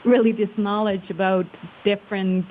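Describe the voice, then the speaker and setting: A woman's voice: medium pitch, little pitch movement, normal speed. A woman from Switzerland speaking as an interview guest, thoughtful and hesitant in casual conversation. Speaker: interview guest, marketing professional. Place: remote call. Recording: muffled phone-like line with hiss.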